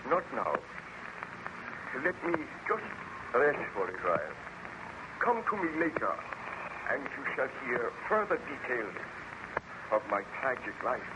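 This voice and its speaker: An actor playing a guilt-ridden, tormented baron with a grave, formal, melodramatic manner in an old-time radio serial. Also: male voice, medium pitch, big pitch swings, unhurried, long pauses. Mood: weary, tormented. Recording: dull, noisy old radio recording.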